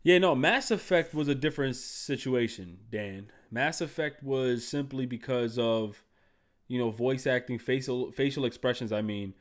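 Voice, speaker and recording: A man's voice: mid-range voice, moderate pace. A man live streaming from his desk mic, ranting to his chat in a loose, profane, rambling way. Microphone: streaming microphone at a desk.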